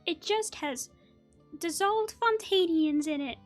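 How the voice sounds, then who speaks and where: A woman's voice: extremely high pitch, slow pace, faint music behind. A woman hosting a gaming video, giving casual, offhand commentary and reactions as she plays. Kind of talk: casual game commentary and reading along.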